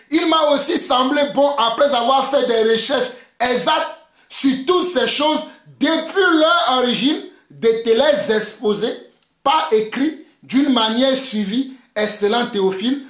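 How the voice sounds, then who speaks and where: High-voiced fiery male preacher. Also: a bit bright, echoey room. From Togo. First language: Mina. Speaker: pastor. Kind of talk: preaching sermon.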